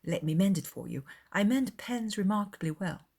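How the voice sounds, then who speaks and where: Period audiobook role: woman as fawning young lady of society, affected, flattering, effusive. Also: medium pitch, normal speed, gravelly, husky, exaggerated voice. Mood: gushing, flattering. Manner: gushing, affected.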